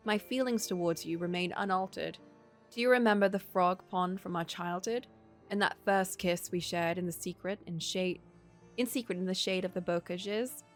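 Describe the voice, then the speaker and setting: A woman's voice: lowish voice, steady tone, moderate pace, soft background music, close to an everyday voice. A woman voicing a lovesick, burdened young lord in a visual novel, reading out a poetic confession. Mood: wistful, tender.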